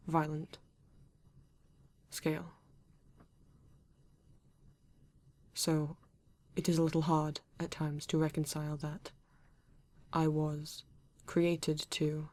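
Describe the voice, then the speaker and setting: A woman's voice: sits low, a little mellow. A woman playing a male android police detective with a British accent, formal, precise and proud yet earnest, in an ASMR roleplay. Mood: grave, measured. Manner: formal, precise, measured, avoids contractions.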